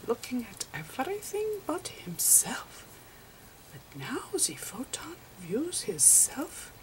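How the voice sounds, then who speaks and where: A woman voicing an eccentric elderly male physicist, whispering a halting, patient ASMR roleplay lecture. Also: medium pitch, distant mic, low-quality recording. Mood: hushed, wondering. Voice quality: breathy whisper. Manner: halting, repetitive, patiently explaining. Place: indoors at a slate chalkboard.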